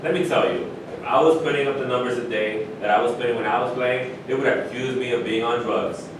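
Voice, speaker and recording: A man's voice: steady tone, fast talker, lightly acted. A man acting in a staged reading as a proud, outspoken retired athlete in conversational dialogue. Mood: insistent. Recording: echoey room from far off, rumbling noise.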